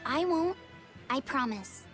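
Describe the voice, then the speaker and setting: A woman voicing a gentle, bookish young boy in an anime-style video game, speaking softly, earnestly and politely. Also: sits high, expressive, takes it slowly, over loud music. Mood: unsure.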